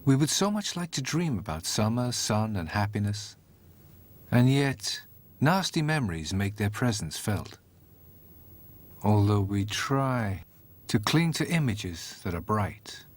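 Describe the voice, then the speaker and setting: A man's voice: on the low side, sing-song, a little slow. A man telling a fairy tale as a scripted, theatrical storybook narration.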